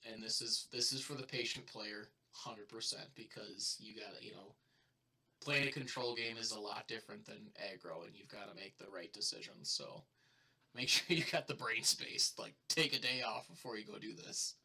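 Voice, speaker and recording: A man's voice: lowish voice, moderate pace, vocal fry, bright, forceful tone, breathy edge. A man chatting casually about card game strategy as the co-host of a channel. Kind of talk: casual deck discussion.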